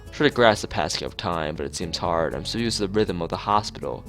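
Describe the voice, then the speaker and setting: A man reading a visual novel aloud in an expressive narrating style, as a let's-player. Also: sits low, quick pace. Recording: music behind the voice. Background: clear background music.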